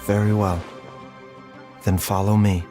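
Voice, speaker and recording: A man playing a composed, cautious physician in a game, speaking in a calm, measured and formal manner. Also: fairly low pitch, music in the background. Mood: grave. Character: composed, soft-spoken, cautious male physician. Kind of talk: scripted game dialogue.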